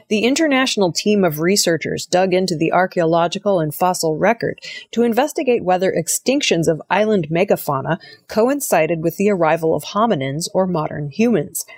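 A woman reading scripted news in a measured, formal manner. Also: on the low side, no echo, studio quality. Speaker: news reader.

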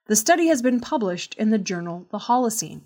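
Woman reading scripted news in measured delivery. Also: a little high, very animated, darkish tone. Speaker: news reader.

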